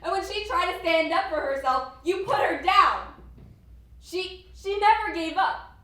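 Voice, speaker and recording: A woman acting an accusing, protective best friend in a stage play, speaking with emphatic dramatic pauses, recorded from afar in an echoey hall. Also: very high-pitched, bright, forceful tone, exaggerated voice. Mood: accusing, impassioned. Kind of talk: student one-act stage play acting. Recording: very echoey hall, far mic, faint noise.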